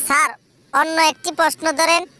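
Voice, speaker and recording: A woman's voice: sits high, fairly even intonation, normal speed, sharp tone. A woman voicing a cheeky schoolchild in a comedy cartoon, answering with matter-of-fact confidence.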